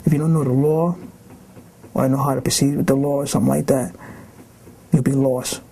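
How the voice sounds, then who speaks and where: A man talks casually in an interview in a gravelly, mellow voice of medium pitch. He is plain-spoken and tends to repeat himself. Faint noise sits behind him. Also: steady tone, brisk. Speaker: interviewee, convicted prisoner.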